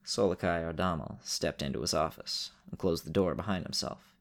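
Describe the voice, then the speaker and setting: A man with a creaky voice, speaking as the storyteller and host of an audio drama show. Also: low-pitched, normal speed. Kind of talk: narration and casual host talk.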